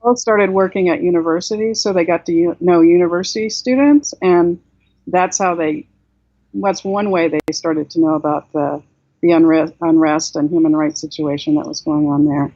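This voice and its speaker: A woman in her sixties, from South Korea, speaks at length as a reflective guest over a webcam mic at home. Her voice sits a little low, with a lot of "you know"s and restarts. The call audio is muffled and dry, with no room sound. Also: normal speed, dark tone, no echo. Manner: reflective, talkative, many you-knows and restarts. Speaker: guest author. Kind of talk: casual interview on a video call. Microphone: laptop webcam mic on a video call.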